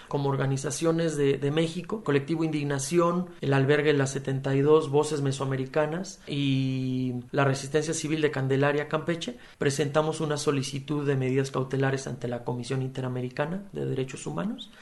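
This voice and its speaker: A man answering an interview question, pausing often with fillers and restarts. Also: medium pitch, little pitch movement, darkish tone. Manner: hesitant, many fillers and restarts. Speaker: human rights advocate interviewed.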